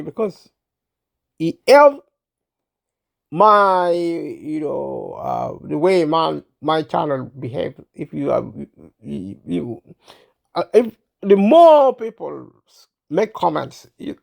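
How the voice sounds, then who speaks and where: A man of sixty from Uganda, hosting his own channel and talking to camera in a halting way, with many pauses, fillers and restarts, clearing his throat as he is unwell. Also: sits high. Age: 60s. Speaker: channel host. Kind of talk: casual talk to camera.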